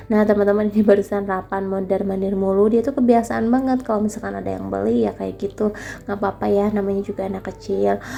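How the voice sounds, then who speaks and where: A woman's voice: mid-range voice, creaky, dark tone. A young woman with a nasal, stuffy voice from a cold, chatting casually in a vlog voice-over recorded at home. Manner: chatty, addresses viewers often as friends. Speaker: vlogger selling meatballs from home. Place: home, recorded at night after the day's filming. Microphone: voice-over dubbed separately.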